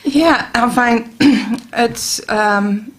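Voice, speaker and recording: A middle-aged woman from the Netherlands with a Dutch accent, a guest on a radio show, talking about Reiki with many pauses and restarts. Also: on the high side. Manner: hesitant, many ums and restarts. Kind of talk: casual radio interview. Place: radio studio.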